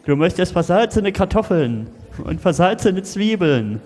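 A man's voice: high-pitched, big pitch swings, unhurried, darkish tone, exaggerated voice. A man playing a cautious, well-meaning colleague pushing homemade cures, earnest and emphatic, in an improvised comedy radio play. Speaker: improv performer. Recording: reverberant hall, live stage.

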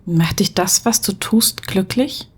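A woman acting a tender, thoughtful character in an intimate audio spy drama, speaking softly and gently probing. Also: medium pitch, takes it slowly, breathy edge. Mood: concerned.

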